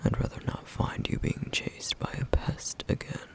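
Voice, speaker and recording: A man voicing a stern yet caring flame demon in an ASMR roleplay, speaking in a hushed, gravelly whisper with measured, formal phrasing. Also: lightly acted. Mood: stern, worried. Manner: measured, formal, slightly old-fashioned phrasing.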